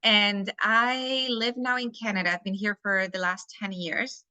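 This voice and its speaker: A woman's voice: mid-range voice, steady tone, unhurried, pushed and bright, clean tone, no echo. A woman from Chile speaking English with a Chilean Spanish accent, a life coach talking candidly and bluntly as a podcast guest. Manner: candid, frank and outspoken. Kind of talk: casual interview conversation.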